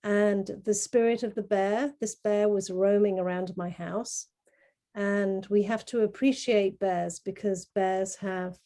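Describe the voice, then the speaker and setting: Mellow-voiced middle-aged woman presenting art. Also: medium pitch. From grew up in Kenya. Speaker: artist presenting their work. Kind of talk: informal talk presenting artwork to an online group. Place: video call.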